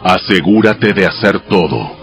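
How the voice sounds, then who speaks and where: Deep male voice, solemn, commanding, measured, instructive, dramatized scripture, music underneath. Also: animated, fairly bright tone, exaggerated voice. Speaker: voice actor in a dramatized audio Bible. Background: music behind the voice.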